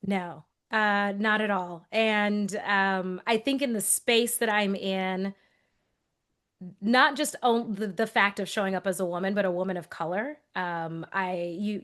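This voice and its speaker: A woman's voice: mid-range voice, a bit bright. Earnest, thoughtful woman podcast co-host. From Texas, USA. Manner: earnest, thoughtful, with restarts and brief laughs. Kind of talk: reflective conversational storytelling.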